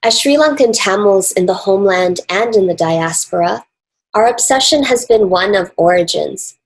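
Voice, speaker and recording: North american woman reading out an academic lecture, formal n deliberate, medium pitched voice. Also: lively intonation, low-quality recording. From Canada and the United States. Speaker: academic speaker, author and dance researcher.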